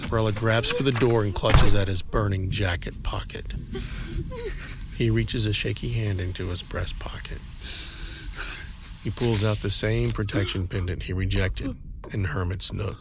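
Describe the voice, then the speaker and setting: A man narrating a scripted audio drama in a deliberate, dramatic manner. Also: on the low side, steady tone, noisy background. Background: dramatic music.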